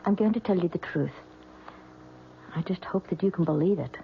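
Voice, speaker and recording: A woman's voice: fairly low pitch, moderate pace, gravelly, a little hiss or hum. An actress playing an earnest, emotional young wife and new mother in an old radio drama. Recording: dull old radio broadcast recording.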